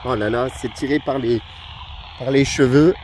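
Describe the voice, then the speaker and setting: A man's voice: mid-range voice, normal speed, a little mellow, slight background noise, low-quality recording. A man who works as an amateur radio operator, chatting casually and often repeating his words and phrases. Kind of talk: casual radio contact chat.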